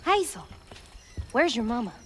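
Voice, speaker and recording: An actress playing a caring, guarded, devout Southern American woman preacher in a video game, speaking in a gentle, folksy way. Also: on the high side, big pitch swings, a little slow, slight background noise. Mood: worried. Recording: faint music behind the voice.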